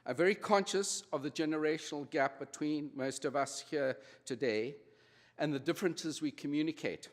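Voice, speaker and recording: A man in his sixties with a South African accent, giving a formal convocation address in a measured, slightly nervous way. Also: fairly high pitch. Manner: measured, slightly nervous delivery with small restarts. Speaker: honorary degree recipient giving convocation address. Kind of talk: formal ceremony speech. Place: large ceremony hall. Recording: long hall reverb.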